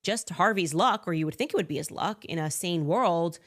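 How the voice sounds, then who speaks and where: A woman hosts a commentary monologue in a low-pitched voice. She talks with a sarcastic, incredulous edge, throwing in rhetorical asides between points. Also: a little fast. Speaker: podcast host.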